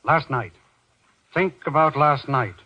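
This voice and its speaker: An actor playing a rattled, insistent doctor in an old-time radio horror drama, speaking with urgent authority. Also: male voice, on the high side, slow pace. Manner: urgent, commanding. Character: agitated, insistent male doctor, rational but rattled. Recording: dull, lo-fi old radio recording.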